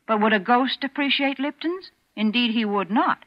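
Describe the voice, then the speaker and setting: A woman announcer reading a 1940s radio commercial in a cheerful, chatty sales pitch. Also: moderate pace, no room sound. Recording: dry, dull old radio broadcast.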